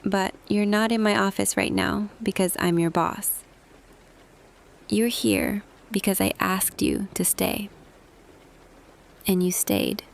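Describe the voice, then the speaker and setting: A woman playing a tender female boss confessing love to an employee in an intimate roleplay audio, hushed and hesitant, slipping between whisper and soft voice. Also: medium pitch, fairly even intonation, slow, gravelly, long pauses. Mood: confessional, longing.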